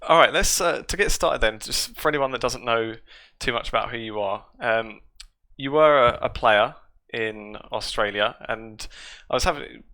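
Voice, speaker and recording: A man's voice: medium pitch, normal speed, few pauses, bright, forceful tone. A man hosting a podcast as a casual interview, asking questions in a conversational way. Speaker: podcast host.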